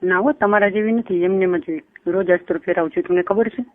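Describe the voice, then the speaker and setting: A woman's voice: lowish voice, quick pace. Kathiawari-accented woman on tinny phone line. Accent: Kathiawari Gujarati. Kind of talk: casual private phone chat. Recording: tinny phone call recording.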